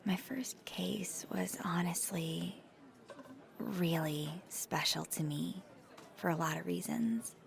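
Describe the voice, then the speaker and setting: A woman plays an awkward, earnest female paranormal investigator in an intimate ASMR audio drama. Her voice sits a little low for a woman, with plenty of crackly fry. She speaks slowly and softly, halting and restarting as if nervous and choosing each word with care. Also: full character voice. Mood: quiet, reflective. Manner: halting, stammering, thoughtful, gently breathy.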